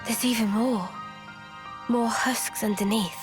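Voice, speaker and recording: An actress playing a gentle, concerned young heroine in a video game. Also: female voice, fairly high pitch, fairly even intonation, slow pace. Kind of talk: game cutscene acting. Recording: loud game music underneath.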